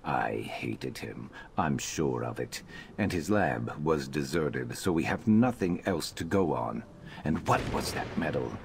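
An actor playing a brooding, determined reptilian warrior in a video game, terse and guarded. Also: male voice, a bass voice, expressive, a little slow, gravelly, a little hiss or hum, exaggerated voice. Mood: bitter. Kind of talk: video game character dialogue.

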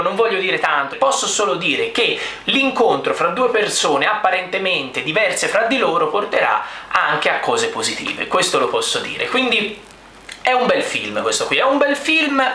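A young man with a bright, forceful, slightly high voice speaks. He reviews a film off the cuff, stressing and repeating his points. The room is audibly echoey. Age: young adult. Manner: emphatic, repetitive, explanatory. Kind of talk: unscripted film review to camera. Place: small bare-walled room indoors. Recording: echoey room with faint noise.

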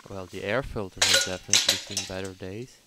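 A man with a fairly low voice talks through repair work in a casual way. His intonation stays very flat. There is clear background noise with mechanical clicking behind him. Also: a little fast. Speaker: host of a repair vlog. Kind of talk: casual workshop commentary.

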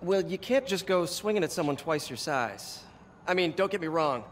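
An American actor voicing a friendly, encouraging young superhero mentor in a video game, with a casual coaching manner. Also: male voice, fairly high pitch, animated, moderate pace, slight background noise, lightly acted. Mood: friendly advice.

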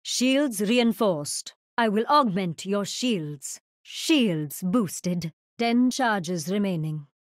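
A futuristic game heroine, composed and precise. She speaks very slowly and formally, in short measured announcements, with a dry, close sound. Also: mid-range voice, animated, dry recording. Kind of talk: scripted game voice lines.

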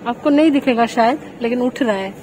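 Young woman, from Kolkata, high voice, teasing vlog chat, phone held at arm's length, noisy mall. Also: a little fast. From Kolkata, India. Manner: teasing, playful, laughs often. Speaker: vlogger. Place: shopping mall and sports store, indoors. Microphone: phone camera at arm's length.

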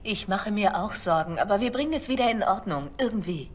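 A woman's voice: mid-range voice, lively intonation, brisk, lightly acted. An actress voicing a young female spy in a video game, earnest and questioning, loyal but worried. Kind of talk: dramatic video game dialogue.

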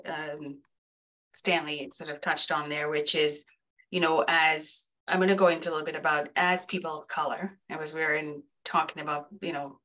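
Earnest woman from Zimbabwe, lowish voice. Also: steady tone, moderate pace, fairly bright tone, low-quality recording. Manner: earnest, encouraging, conversational with fillers. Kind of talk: webinar panel discussion. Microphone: video call.

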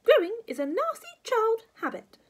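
Bossy old storybook granny, wicked, snappy, scolding, high-pitched, wildly swooping, husky edge. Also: female voice, very animated, normal speed. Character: tiny, wicked, bossy, nasty old grandmother. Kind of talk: children's storybook character voice.

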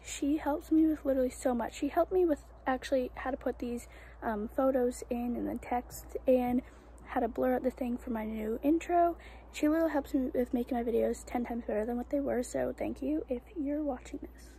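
A teenage girl vlogging casually about her horse at a barn, talking into a phone camera she holds close, chatty with fillers and quick asides. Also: high voice, mellow, a little hiss or hum, low-quality recording. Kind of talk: casual vlog narration. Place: horse barn and outdoor riding arena. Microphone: phone camera held close.

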